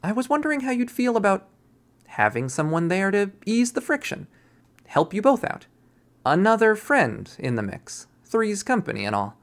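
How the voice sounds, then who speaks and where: An awkward young male student from a visual novel, acted by a man reading aloud. He talks in a halting, anxious way, earnest and eager to be liked. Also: sits high, huge pitch swings, voice pushed up, heavily acted. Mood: nervous, earnest.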